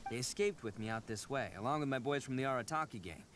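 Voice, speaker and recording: A man's voice: medium pitch, animated, quick pace, fairly bright tone, slight background noise, lightly acted. A man voicing a brash, boastful but good-hearted young oni gang leader in a video game, swaggering and slangy, stammering when flustered. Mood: sincere. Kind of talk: animated video game voice acting.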